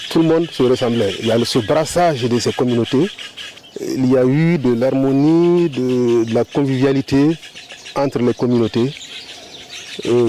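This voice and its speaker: Male interviewee, slow and considered, mellow voice, faint hum of noise outdoors. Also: medium pitch, low-quality recording. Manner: deliberate, pausing, with restarts. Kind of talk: street interview.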